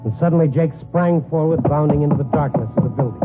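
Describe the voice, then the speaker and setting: A man's voice: fairly high pitch, fast, phone-quality sound, natural voice. Guy playing wry tough newspaperman telling his story, 1950s radio noir, music behind, thin old recording. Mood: tense, wry narrating. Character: wry, steady hard-boiled newspaperman narrating his own story. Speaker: narrator and lead character.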